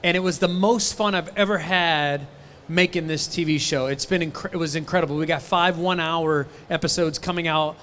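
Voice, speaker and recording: A middle-aged man with a booming voice, an auctioneer guesting on stage at a convention with a handheld mic, joking with the crowd. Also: fairly even intonation, a bit bright, slight background noise. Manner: rapid auctioneer chanting, jokey banter.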